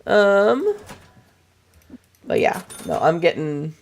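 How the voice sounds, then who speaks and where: Young woman streamer, low gravelly voice, still hoarse from a cold, slow rambling chatter, swears loosely. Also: huge pitch swings, a little hiss or hum. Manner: rambling, swears casually, chats to viewers. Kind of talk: casual livestream chat while gaming.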